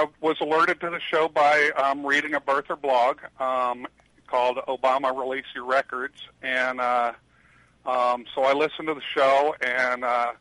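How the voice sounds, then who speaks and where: An older man, a retired attorney, answering questions as a radio guest in a loud voice, rambling with many fillers. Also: mid-range voice, no room sound, rough recording. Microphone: headset.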